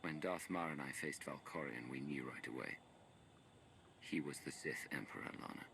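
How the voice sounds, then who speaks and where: A man's voice: very deep voice, moderate pace, gravelly, sharp tone, slight background noise, heavily acted. An actor playing a terse, wary, hardened male hero in a sci-fi game. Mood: steady. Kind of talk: cinematic game dialogue.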